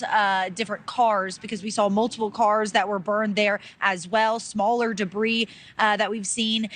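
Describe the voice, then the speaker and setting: A woman's voice: medium pitch, fairly bright tone. A woman delivering a live news report as a field reporter. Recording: outdoor live report with background noise.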